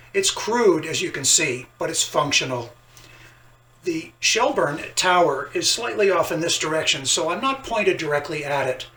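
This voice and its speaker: Bright-voiced man explaining his hobby, roomy sound. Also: fairly high pitch. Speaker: hobbyist presenter. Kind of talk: explanatory hobby talk to camera. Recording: roomy, distant mic, faint background noise.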